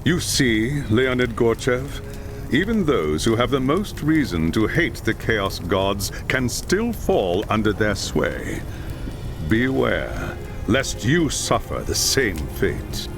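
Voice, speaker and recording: An actor playing a grave, moralizing counsellor in a fantasy video game, delivering formal, sententious warnings. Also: male voice, fairly low pitch, sing-song, takes it slowly, exaggerated voice. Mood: stern caution. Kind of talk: video game cutscene acting. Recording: loud music behind.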